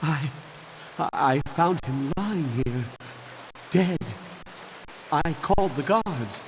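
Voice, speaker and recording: A frightened monk pleads in a high, widely swinging voice. It is dramatic game cutscene acting, with faint storm hiss behind. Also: male voice, lively intonation, extremely slow, full character voice. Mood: shaken.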